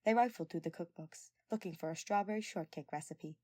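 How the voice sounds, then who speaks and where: A woman's voice: on the low side, a little fast, warm tone, dry recording. A woman narrates a written story. She reads scripted prose as the storyteller. The sound is very dry, with no room to it. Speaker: podfic narrator.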